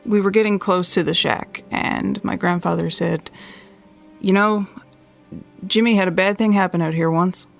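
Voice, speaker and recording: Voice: medium pitch, steady tone, moderate pace, creaky, soft background music, close to an everyday voice. An actor playing a young-sounding narrator, recalling a grandfather's tale in a hesitant, conversational way for a horror audio drama. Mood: reminiscing. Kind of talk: first-person horror audio drama monologue.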